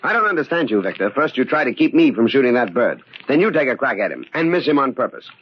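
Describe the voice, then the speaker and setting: An actor playing a defensive, evasive murderer posing as an innocent heir in an old radio mystery. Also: male voice, mid-range voice, very animated, quick pace, lightly acted. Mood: irritated. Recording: muffled, very noisy old radio recording.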